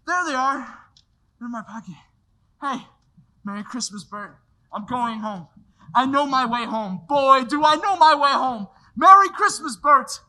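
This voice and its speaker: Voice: medium pitch, sharp tone, lightly acted, low-quality recording. A performer playing a desperate man pleading to get his old life back, frantic one moment and overjoyed the next. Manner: frantic, pleading, swings from despair to joy.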